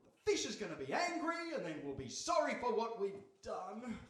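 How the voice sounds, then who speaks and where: A man's voice: sits high, wildly swooping pitch, moderate pace. An actor playing a meek, reluctant, henpecked fisherman, hesitant and exasperated, in a live radio play. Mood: grumbling, anxious. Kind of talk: live radio theatre acting. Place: hall, live stage performance. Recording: distant mic in an echoey hall.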